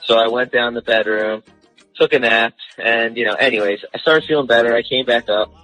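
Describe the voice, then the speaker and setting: Young male caller, slangy storyteller, forceful bright tone, faint music bed. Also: mid-range voice, steady tone, a little fast, no echo, poor audio. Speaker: radio show caller. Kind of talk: casual storytelling on a call-in radio segment.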